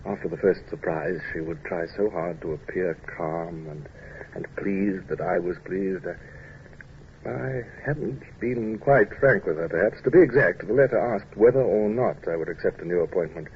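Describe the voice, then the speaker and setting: A man's voice: deep voice, moderate pace. A man acting a proud, self-conscious English naval captain in an old-time radio drama, brusque and clipped but hesitant when flustered. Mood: reflective. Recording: muffled, hissy old radio recording.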